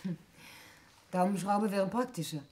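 An actress plays a cool, ironic woman from a moneyed family in a 1960s radio thriller. Her voice is soft-edged and mellow at a medium pitch, with casual, teasing phrasing. The old recording carries a light layer of noise. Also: unhurried, lightly acted. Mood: dry, probing. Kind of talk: 1960s German radio play acting.

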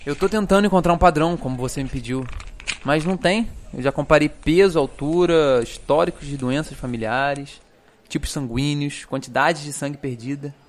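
An actor playing a dry, exasperated young forensic doctor in an audio drama, speaking precisely with a Brazilian accent. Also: male voice, on the high side, normal speed, natural voice. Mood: frustrated, earnest.

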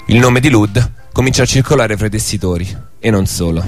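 A man's voice: fairly low pitch, a little fast, a little mellow. A man hosting a radio programme, narrating a scripted historical documentary. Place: radio studio. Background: music.

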